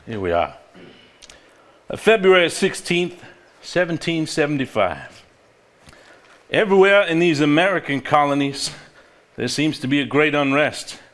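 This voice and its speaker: A man's voice: fairly high pitch, animated, unhurried, long pauses, fairly bright tone. An actor on stage playing an earnest, level-headed married man who speaks seriously. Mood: solemn reading aloud. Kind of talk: live stage play acting, reading aloud from a journal.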